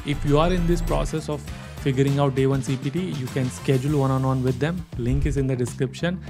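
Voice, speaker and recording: A man's voice: mid-range voice, fairly even intonation, fast, over music. A man from India hosting an interview, reading a sponsor intro and then chatting casually with lots of fillers and restarts. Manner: casual, frequent fillers and restarts, laughs often. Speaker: host.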